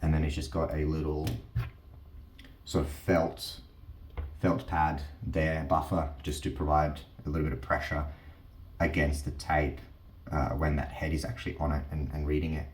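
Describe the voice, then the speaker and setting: A man giving a casual hands-on explanation, haltingly and with repeated words, as a host. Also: a bass voice, little pitch movement, a little hiss or hum. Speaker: host of a teardown channel. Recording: roomy, echoing sound.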